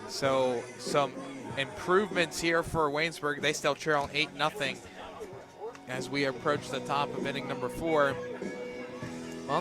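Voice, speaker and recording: A man doing live play-by-play commentary of a softball game for radio, outdoors at a ballfield. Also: a little high.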